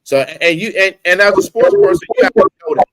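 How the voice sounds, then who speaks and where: A man's voice: sits high, huge pitch swings, fairly quick, fairly bright tone. A man on a casual sports podcast, laid-back and agreeable.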